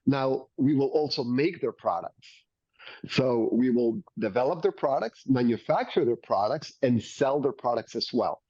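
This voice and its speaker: A man's voice: medium pitch, a little mellow, dry recording. A man from Mexico speaks in a Mexican Spanish accent, eagerly laying out business plans. He repeats words as he builds each point. His voice comes through dull and completely dry, with no room sound. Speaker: beverage company executive, interview guest. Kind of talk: business interview, pitching plans.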